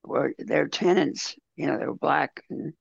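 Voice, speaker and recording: A man with a gravelly, husky voice talking haltingly in a casual group discussion. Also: fairly high pitch, no echo. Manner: halting, repeats words. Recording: dull, lo-fi audio.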